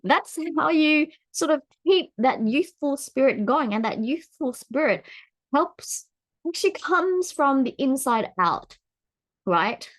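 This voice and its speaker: Chatty woman in her forties. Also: slow. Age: 40s. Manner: chatty, laughs often, lively pitch swings. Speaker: vlogger. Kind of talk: casual talk to camera.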